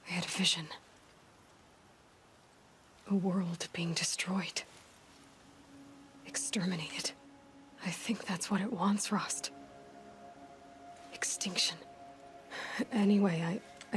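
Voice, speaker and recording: An actress voicing a young woman warrior in a video game, resolute and lonely, speaking in a soft, breathy, halting and reflective way. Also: lowish voice, steady tone, a little hiss or hum. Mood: somber, reflective. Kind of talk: video game character monologue. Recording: studio game dialogue over background music.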